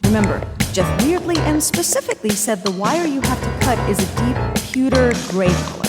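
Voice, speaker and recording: A woman playing a brisk, sarcastic female spy leader in a comedy audio drama. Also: on the high side, lively intonation, over loud music, natural voice. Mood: briefing. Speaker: team leader agent McGrath.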